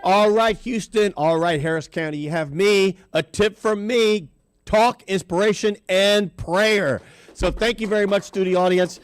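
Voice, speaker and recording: A man's voice: high-pitched, unhurried, faint music behind. A man hosting a radio talk show, a bit nasal from allergies, asking long rambling questions in a casual, upbeat way. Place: radio studio.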